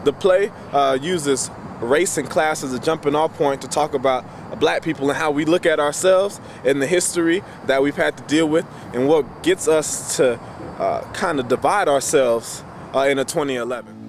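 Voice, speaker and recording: Man presenting over clear street noise. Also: fairly high pitch, moderate pace. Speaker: theater director and producer, presenter. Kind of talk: informal spoken introduction.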